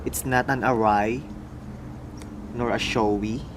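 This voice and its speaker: Slow, mumbling Filipino man. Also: mid-range voice, fairly even intonation, noisy background. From Philippines. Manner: slow, mumbling, musing aloud. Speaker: motorcycle vlogger. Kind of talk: casual product unboxing vlog.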